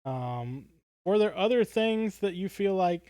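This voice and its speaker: A man's voice: high-pitched, lively intonation, a little slow, dark tone, clear, resonant tone, dry recording. A man co-hosting a podcast in casual fan discussion, feeling his way toward words as he talks. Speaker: podcast co-host.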